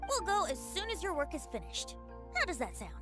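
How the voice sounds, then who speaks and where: A woman's voice: very high-pitched, huge pitch swings, fairly quick, exaggerated voice. A woman playing a breezy, teasing young drinker in a video game cutscene, with a playful theatrical manner. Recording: game music behind the voice.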